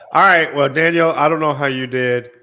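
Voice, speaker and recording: Male podcast host, bright tone, stuffy nasal cold, joking coach energy. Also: on the high side. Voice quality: slightly nasal. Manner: teasing, coach-like. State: congested from a cold. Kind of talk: casual chat.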